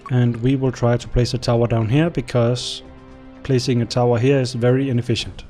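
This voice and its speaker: A man's voice: medium pitch, flat delivery, a little fast, dark tone, faint music behind. A young man whose first language is Danish, casually commenting on a strategy game as he plays, thinking aloud and talking to his units. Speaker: gameplay commentator. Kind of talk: casual let's-play commentary.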